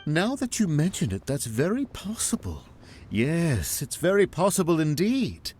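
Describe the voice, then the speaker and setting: A man's voice: fairly high pitch, sing-song, a little slow, darkish tone, breathy edge, heavily acted. A man voicing a pondering, melodramatic townsman in a video game, theatrical and prone to repeating himself. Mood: dramatic speculation. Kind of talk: video game cutscene voice acting.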